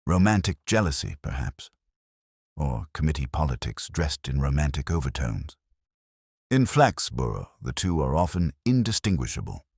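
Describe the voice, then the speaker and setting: A man voicing a shrewd, unruffled police inspector in a mystery story, with a smooth, dry, measured manner. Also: very deep voice, big pitch swings, a little mellow, dry recording, heavily acted. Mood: dryly speculative.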